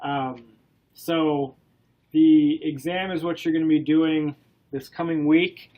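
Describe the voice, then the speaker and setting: A man with a head cold lectures a class, his voice stuffy and nasal. He speaks a little higher than most men and keeps a loose, relaxed pace. He fills pauses with ums and keeps asking the students questions. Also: highs cut off. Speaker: college instructor.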